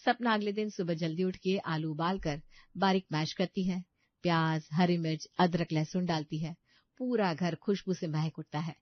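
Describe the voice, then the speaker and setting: A woman's voice: on the low side, steady tone, normal speed, dry recording, dull audio. Woman narrating a story, dry sound. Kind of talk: scripted bedtime story narration in Hindi.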